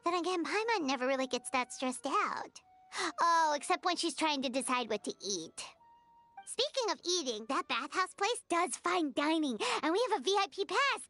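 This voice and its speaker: A woman voicing a tiny, excitable, food-loving fairy companion in a video game, with a chirpy childlike manner. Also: high-pitched, normal speed, soft background music. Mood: excited. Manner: chirpy, refers to self in third person.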